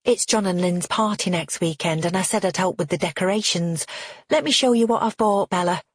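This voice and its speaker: A woman's voice: low-pitched, moderate pace, husky, no echo, clean studio sound, full character voice. A woman voicing a tactful, cautious married woman with a confiding manner in an audiobook. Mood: bright.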